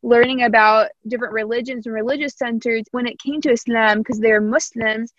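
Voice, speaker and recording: A woman's voice: a little high, no room sound, highs cut off. A young woman from Indiana with a slight Southern American accent, hosting a casual interview in a thoughtful, rambling way. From Indiana, USA.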